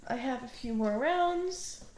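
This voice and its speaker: A woman playing a smitten, awkward tomboy stalker in an intimate one-sided ASMR roleplay, hesitant and soft-spoken, trailing off with small hums. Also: sits high, animated, slow pace, heavy background noise, exaggerated voice. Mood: flirty, eager.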